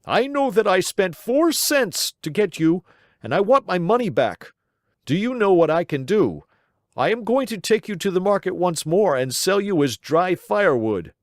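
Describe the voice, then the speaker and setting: Stingy angry master, as a reader puts him on, loud, indignant, storybook. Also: male voice, fairly high pitch, very animated, normal speed, dry recording, studio quality, pitched above the performer's own voice. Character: gruff angry grown man, stingy buyer.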